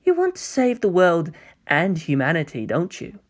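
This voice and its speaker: Man voicing a sly, softly mocking young angel villain, lilting. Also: fairly high pitch, wildly swooping pitch, moderate pace. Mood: gently mocking. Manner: coy, gentle, musing, theatrical.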